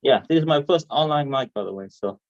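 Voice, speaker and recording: A man from Vietnam with a Vietnamese accent and a stutter, performing stand-up comedy haltingly over a video call. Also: mid-range voice, lively intonation, no room sound. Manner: hesitant, halting delivery with repeated words. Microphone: video call microphone. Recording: dull, muffled online call audio.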